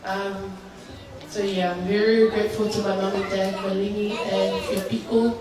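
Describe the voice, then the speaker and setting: A woman's voice: mid-range voice, little pitch movement, normal speed. A woman in her early twenties with a New Zealand accent, giving a hesitant, informal, heartfelt thank-you speech at a party. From born in Samoa, grew up in New Zealand. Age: early 20s. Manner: hesitant, unscripted. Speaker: birthday celebrant giving thanks. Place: large echoey room at a party. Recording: echoey noisy hall, distant microphone.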